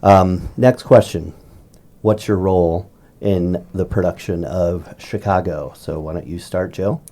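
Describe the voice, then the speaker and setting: A man's voice: low voice, measured pace, mellow. A man hosting a school podcast, asking casual, joking interview questions with plenty of fillers. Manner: friendly, jokey questions with fillers.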